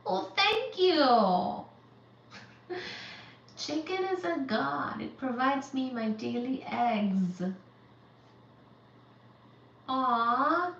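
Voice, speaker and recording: Woman in her 30s chatting on a livestream, slow unhurried talk, far from the mic in an echoey room, dull sound. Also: high voice, expressive, gravelly, fairly bright tone. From Central Asia. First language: Uzbek. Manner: reads viewer comments aloud and greets them one by one. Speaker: livestream host. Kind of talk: live-stream chat. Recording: dull, roomy, distant from the mic.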